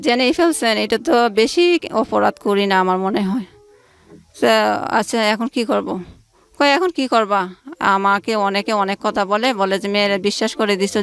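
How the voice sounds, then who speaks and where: A woman, telling her life story informally as an interview guest and acting out conversations as she goes. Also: medium pitch. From Bangladesh. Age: late 20s. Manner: recounts events through reported dialogue. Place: radio studio.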